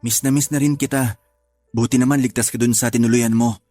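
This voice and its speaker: An actor voicing a gentle older brother after a breakup. His voice sits in an ordinary middle range, quiet and sighing, with self-blame running through it. Soft music plays beneath him. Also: mid-range voice, steady tone, fairly quick, no echo, natural voice. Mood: sad. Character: heartbroken, gentle older brother, young man. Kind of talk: radio drama acting.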